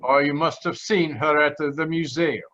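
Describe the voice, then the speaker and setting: Muffled, halting Italian man, played by an actor. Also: a little high, steady tone, background noise, close to an everyday voice. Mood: grave, insistent. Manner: halting, formal broken English. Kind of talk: old-time radio drama acting. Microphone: video call. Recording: muffled video-call audio.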